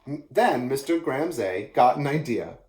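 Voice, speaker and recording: A man reading a children's picture book aloud as an expressive storyteller, in an echoey room. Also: medium pitch, unhurried.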